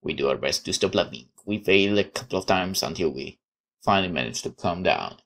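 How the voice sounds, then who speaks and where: A woman's voice: rumbling low, expressive, fairly quick. A woman reading a visual novel aloud expressively, with casual commentary as she plays.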